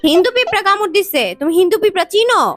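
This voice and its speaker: An exasperated housewife mother in a cartoon , voiced by a performer. Her voice is high and quick, nagging and brusque, with children's music underneath. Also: expressive, no room sound. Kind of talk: cartoon voice acting.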